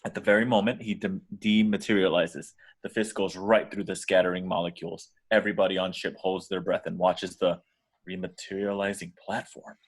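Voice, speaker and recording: A man's voice: low-pitched, level tone, brisk, slightly rough. A man reading out the action descriptions as scripted narration in a table read.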